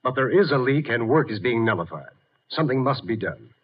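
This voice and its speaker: Grave official, husky, widely varying pitch, formal delivery, vintage radio drama. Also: male voice, big pitch swings, brisk. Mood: grave, concerned. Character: earnest, worried male government official. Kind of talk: 1950s radio drama acting. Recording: muffled vintage 1950s radio recording.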